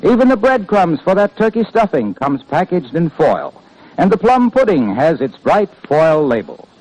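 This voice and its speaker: A man with an American accent announcing and pitching in polished, salesmanlike 1950s radio style. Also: sing-song, normal speed, darkish tone, rough recording.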